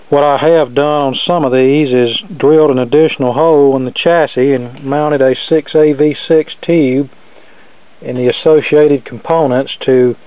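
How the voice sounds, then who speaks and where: A man's voice: medium pitch, clear, resonant tone, no room sound. A man narrating a hands-on repair in a casual, plainspoken, matter-of-fact way, as the host of an electronics repair video. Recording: narrow phone-like lo-fi sound.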